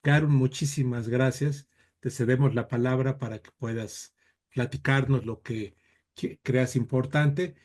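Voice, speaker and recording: A man's voice: mid-range voice, steady tone, a little slow, darkish tone. A man who coordinates a seminar introduces the guest speaker politely, with frequent fillers. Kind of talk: formal introduction.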